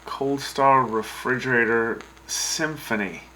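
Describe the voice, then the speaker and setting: A man's voice: mid-range voice, steady tone, slow, bright, forceful tone, a little hiss or hum, poor audio. A man hosting a radio show in scripted banter, reacting to his co-hosts in a dry, exasperated way. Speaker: radio host.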